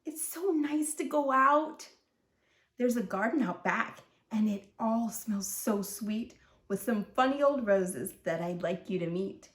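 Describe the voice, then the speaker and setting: A woman's voice: a little high, animated, takes it slowly. Woman voicing an eager, coaxing toy bear, echoey room. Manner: coaxing, imploring. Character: friendly, hopeful, adventurous male stuffed bear.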